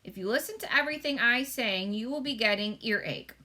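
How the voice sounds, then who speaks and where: A woman voicing a big, gentle storybook giant who speaks wistfully in muddled, folksy grammar. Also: fairly high pitch, animated, fairly quick. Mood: grumbling.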